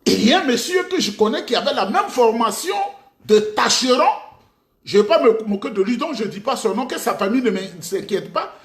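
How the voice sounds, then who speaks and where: A man's voice: very high voice, animated, brisk. A middle-aged man from Côte d'Ivoire with an Ivorian French accent, delivering a mocking, rhetorical political monologue with sudden outbursts. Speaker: political commentator. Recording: echoey room.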